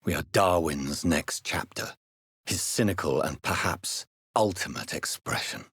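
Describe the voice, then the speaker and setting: A man playing a grave, courteous vampire physician in a video game, with a British accent and a formal, measured, theatrical delivery. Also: low-pitched, fairly bright tone, slightly rough, dry recording, studio quality, heavily acted. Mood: brooding, ominous. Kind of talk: dramatic video game voice acting.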